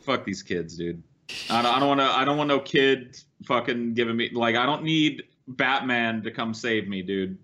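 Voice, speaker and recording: American dude podcast cohost, tense sharp voice, sweary and skeptical, bit lo-fi sound. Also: male voice, medium pitch, lively intonation, normal speed. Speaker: podcast co-host. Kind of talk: casual, profane chat.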